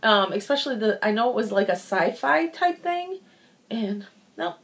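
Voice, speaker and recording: A woman's voice: medium pitch, big pitch swings, normal speed, fairly bright tone. Woman casually rambling through book reviews. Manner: informal and rambling, thinking aloud, repeating words for emphasis.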